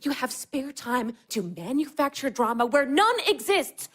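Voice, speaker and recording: A woman playing a sharp-tongued, exasperated young wife in a naturalistic audio drama, blunt and sardonic in manner. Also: high-pitched, big pitch swings, measured pace, full character voice. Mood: scornful, angry.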